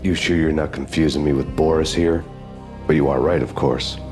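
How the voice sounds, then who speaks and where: An actor playing a cynical, hardboiled ex-cop in a game, speaking deadpan with dry sarcastic wit. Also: male voice, rumbling low, normal speed. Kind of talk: noir game narration and dialogue. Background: music.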